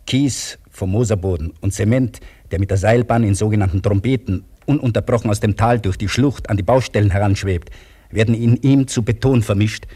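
Husky, dark-voiced crane operator. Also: male voice, fairly low pitch, a little fast. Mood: matter-of-fact. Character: male cable crane operator recounting his work. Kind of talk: scripted radio play narration.

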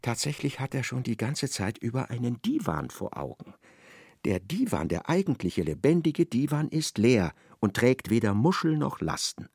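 A narrator of a literary radio drama speaks in a measured, precise way. His voice is middling in pitch with a gravelly creak, recorded very dry. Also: very animated, darkish tone, no echo. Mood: measured narration.

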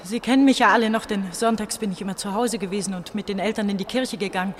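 An actress as an anguished pastor's daughter of eighteen in a radio drama. She broods haltingly in a medium-pitched voice and circles back on her words. A faint layer of ambient noise sits behind her. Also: natural voice. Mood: anxious, brooding. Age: young adult. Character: anguished eighteen-year-old pastor's daughter fleeing East Berlin. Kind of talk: German radio play acting, introspective monologue.

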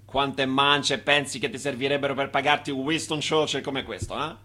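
Man acting a bright, forceful, patronizing cigar smoker. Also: mid-range voice, steady tone, normal speed, natural voice. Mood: smug, patronizing. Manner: patronizing, chatty, prodding with questions. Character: condescending, chummy cigar-smoking patron, smug and distrustful. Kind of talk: radio play acting.

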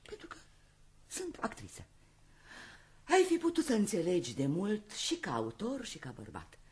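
An actress playing a proud, high-strung theatre actress in a radio play, declaiming in a grand, sentimental, impassioned way. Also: female voice, huge pitch swings. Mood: wounded, nervy.

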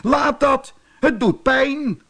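A man's voice: very high-pitched, very slow, fairly bright tone, pitched above the performer's own voice, full character voice. Een man die een sluwe, hongerige tijger speelt in een sprookje, vleierig, zelfingenomen en snel ongeduldig. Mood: pained fury. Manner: wheedling, self-satisfied, impatient when crossed. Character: sly, vain, hungry male tiger, falsely polite. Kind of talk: storybook character voice.

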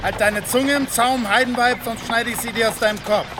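An actor playing a threatening, officious mounted escort in a German audio drama, barking and menacing. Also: male voice, very high voice, fairly even intonation, fairly quick. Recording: loud outdoor noise.

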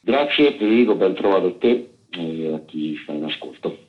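A man's voice: sits low, expressive, moderate pace, narrow, tinny audio. A man who works as an actor, speaking off the cuff in a radio interview with rambling, improvised phrasing. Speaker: actor and author, radio guest. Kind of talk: unscripted interview talk.

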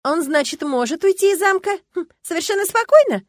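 A woman's voice: fairly high pitch, expressive, darkish tone, dry recording, studio quality, voice pushed up. A woman voicing an impulsive young heroine in an audiobook, flustered and exclaiming. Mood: indignant. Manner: breathy exclamations, quick flustered chatter.

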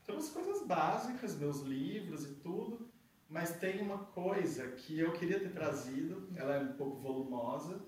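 A Brazilian man with a São Paulo interior accent talks in a reflective, soft-spoken way, his sentences trailing off. He sits some way off the mic in a very echoey room. His voice is fairly high for a man, with a faint hiss behind it. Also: sing-song, a little slow. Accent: Brazilian Portuguese, São Paulo interior. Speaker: artist guest. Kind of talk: casual conversation. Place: theatre garage space. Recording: roomy echo, distant mic, faint noise.

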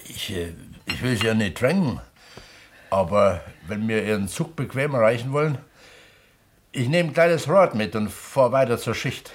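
An actor playing a taciturn, plain-spoken mine worker in his fifties in a 1970s German radio play, terse and unhurried. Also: medium pitch, lively intonation, breathy edge. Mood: plain, courteous. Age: 50s. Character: taciturn, plain-spoken male mine pump attendant of fifty-eight, amateur writer.

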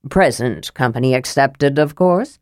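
A woman's voice: extremely low pitch, expressive, measured pace, a little mellow, no room sound, clean studio sound, pitched below the performer's own voice. Woman playing a stiff, condescending theocrat. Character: pompous male high theocrat, condescending.

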